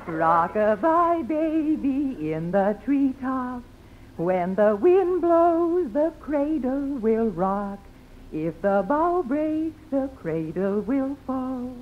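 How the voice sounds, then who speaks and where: A woman's voice: high-pitched, very animated, extremely slow, soft background music, exaggerated voice. A woman playing a doting mother soothing her baby son in a 1950s radio comedy. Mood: lulling. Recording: dull 1950s radio recording, noisy, audience.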